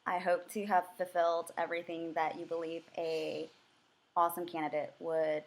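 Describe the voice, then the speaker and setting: A woman's voice: on the low side, little pitch movement, normal speed. A young American woman, raised speaking Vietnamese first, giving earnest, eager answers in a mock job interview with frequent filler words. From United States. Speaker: job candidate.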